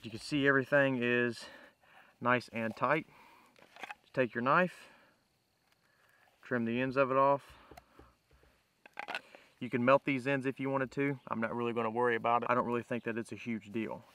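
A man with a bright, forward voice at an ordinary middle pitch talks through a hands-on tutorial. He is informal and plain-spoken, explaining each step as he works outdoors. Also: little pitch movement, moderate pace, pauses often, a little hiss or hum. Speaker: bushcraft demonstrator, host. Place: outdoors in woodland.

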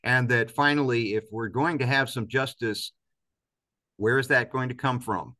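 A man in his fifties with a bright, forceful voice answers in an interview over a video call. He speaks reflectively, stressing points and posing rhetorical questions at a medium pitch. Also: fairly even intonation, moderate pace. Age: 50s. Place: indoors, large office-like room, on a video call.

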